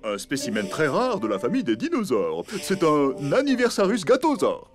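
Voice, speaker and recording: An extremely animated middle-aged father elephant in a children's cartoon. He is kindly and theatrical, announcing grandly like an amateur stage magician. Loud music plays under the voice. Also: fairly high pitch, normal speed. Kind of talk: French children's cartoon dubbing.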